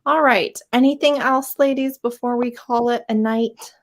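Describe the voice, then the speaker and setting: A woman's voice: a little high, fairly even intonation, moderate pace. A woman hosting a casual live group chat about books, with a blunt, playfully teasing manner. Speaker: host of a live readalong.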